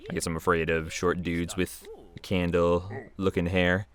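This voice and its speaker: A man's voice: low-pitched, flat delivery, measured pace. A man giving casual, unscripted commentary as a video creator, rambling and chuckling in a conversational way.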